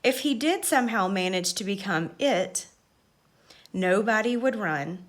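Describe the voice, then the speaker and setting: A woman reading a children's story aloud with expressive, measured, dramatic narration. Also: mid-range voice.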